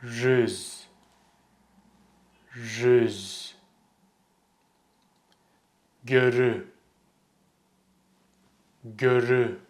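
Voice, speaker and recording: A man with a Turkish accent, a Turkish teacher giving a language lesson, speaking clearly and deliberately and slowing down to model words. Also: medium pitch, fairly even intonation.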